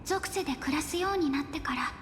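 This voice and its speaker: High, gentle young woman guide played by an actress. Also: racing, slight background noise, natural voice. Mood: gentle, explaining. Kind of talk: Japanese anime-style game acting. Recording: echoey hall reverb, clear game music.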